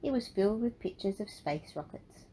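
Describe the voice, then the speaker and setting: A woman narrating a children's storybook in an expressive, deliberate way. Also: mid-range voice, slight background noise, low-quality recording. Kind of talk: storybook read-aloud for children.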